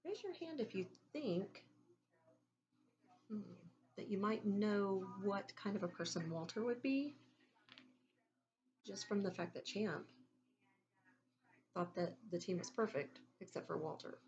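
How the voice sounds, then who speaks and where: A woman's voice: medium pitch, animated, unhurried, long pauses, darkish tone, lo-fi sound. Woman reading to children, noisy background. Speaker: teacher reading aloud to students. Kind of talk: expressive children's read-aloud with questions to listeners.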